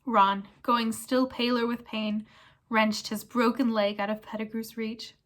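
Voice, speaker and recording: A woman's voice: fairly high pitch, flat delivery, unhurried, fairly bright tone. A woman reading a chapter book aloud in dramatic storybook narration. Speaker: reader.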